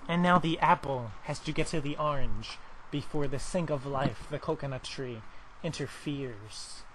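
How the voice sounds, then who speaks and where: A man's voice: medium pitch, moderate pace, a bit bright, a little hiss or hum, poor audio. A man making a prank call in improvised absurd chat, rambling with feigned confusion. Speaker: prank caller.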